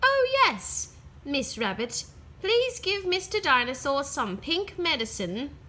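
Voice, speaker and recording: An excitable young girl piglet played by a woman reading a storybook aloud. She is curious and eager, full of questions, with a high, bright voice that jumps up and down. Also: takes it slowly, slight background noise, exaggerated voice. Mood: playful, bossy. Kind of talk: children's storybook read-aloud.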